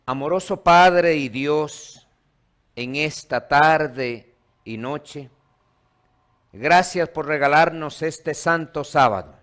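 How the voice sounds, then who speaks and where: Man, pastor, from Ecuador, slow, slightly high voice, church sermon, call-and-response. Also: fairly bright tone. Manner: call-and-response, quizzing the congregation. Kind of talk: sermon to a live congregation. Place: church hall.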